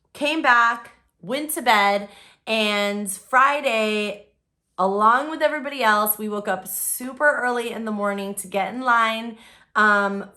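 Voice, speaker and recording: Bright, chatty young woman vlogging. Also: mid-range voice. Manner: chatty, lots of "like" and "um". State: voice recently lost, recovering. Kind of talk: casual vlog recap.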